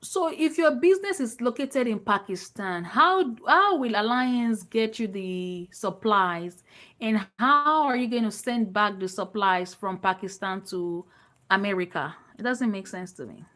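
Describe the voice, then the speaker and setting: A woman's voice: mid-range voice, animated, measured pace, fairly bright tone. Nigerian-accented woman, emphatic business coach. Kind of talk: informal live online coaching, answering viewer questions.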